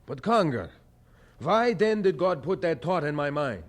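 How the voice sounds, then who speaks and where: Young missionary, earnest, troubled, unhurried, lively pitch swings, audio drama. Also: male voice, very noisy. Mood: troubled, hesitant. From Germany. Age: young adult.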